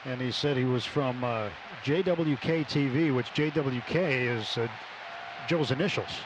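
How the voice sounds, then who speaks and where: Male American colour commentator, average pitch, casual delivery, live radio booth with loud ambient background. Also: unhurried, background noise. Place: stadium broadcast booth.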